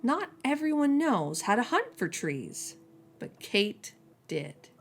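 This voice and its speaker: Slow, lively woman reading a picture book. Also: fairly high pitch, soft background music. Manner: slow, expressive reading that drops soft on each refrain. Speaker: storyteller. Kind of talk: children's picture book read-aloud.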